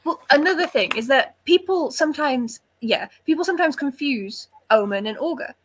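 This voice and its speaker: A woman's voice: high-pitched, lively intonation, moderate pace, a bit bright, a little hiss or hum. A woman chatting casually about books in a blunt, jokey, sweary way. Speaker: readalong panelist. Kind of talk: casual book discussion, banter.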